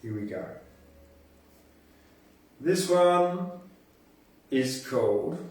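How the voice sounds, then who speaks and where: A man hosting a poetry reading, reciting verse and making offhand remarks in a halting, deliberate manner. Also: fairly high pitch, lively intonation, a little mellow. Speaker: poetry podcast host and reader. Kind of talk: poetry recitation with casual asides between poems. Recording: echoey room, distant from the mic.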